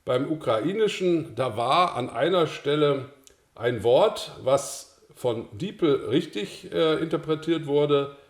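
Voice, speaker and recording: Older German man explaining calmly, echoey room. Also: fairly high pitch, takes it slowly. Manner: calm, explanatory, with occasional filler sounds. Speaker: course instructor. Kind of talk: screencast tutorial.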